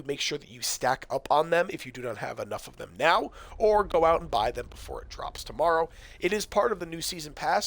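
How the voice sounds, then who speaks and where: A young man hosting a casual game-tips video, talking straight to his viewers with lots of fillers, his voice growing hoarse. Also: a little high, fairly bright tone. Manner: chatty, addresses viewers directly, frequent fillers. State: losing his voice. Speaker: gaming video host.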